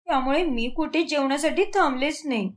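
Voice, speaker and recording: A woman acts out a young cartoon daughter in a high voice. She is whiny and work-shy, always complaining or coaxing someone to help her. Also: a little fast, close to an everyday voice. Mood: pleading. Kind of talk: cartoon moral story acting.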